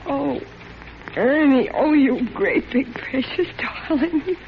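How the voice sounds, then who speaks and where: An actress playing a sweet, emotional, devoted wife in a 1940s style radio suspense drama, with a gushing, dramatic manner. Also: female voice, high-pitched, lively intonation, slow pace, full character voice. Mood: overjoyed, tearful. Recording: muffled noisy old radio recording.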